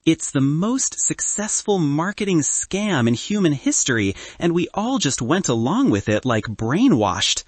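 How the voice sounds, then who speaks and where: A man's voice: fairly high pitch, sing-song, measured pace, few pauses, no echo, pitched above the performer's own voice. A man voicing a cynical, sardonic best man in an audiobook, lecturing and mocking with smug cheer.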